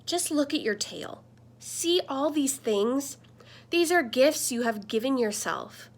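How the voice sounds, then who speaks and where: A woman voicing a friendly, earnest talking computer screen that gently scolds, in a children's picture book. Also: high-pitched, animated, slow, exaggerated voice. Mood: earnest, warning. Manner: earnest, explanatory.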